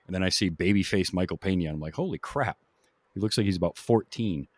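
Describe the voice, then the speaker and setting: A man's voice: deep voice, fast, darkish tone, slightly rough, dry recording. A man hosting a podcast, chatting casually about films. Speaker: podcast host. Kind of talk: casual film discussion.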